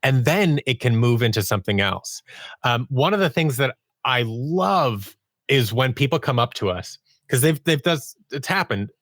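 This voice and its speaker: A man's voice: mid-range voice, animated, moderate pace, fairly bright tone, no room sound, studio quality. Enthusiastic man in casual podcast talk. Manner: enthusiastic, explanatory, acts out imagined quotes. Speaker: audio drama writer and producer, interview guest.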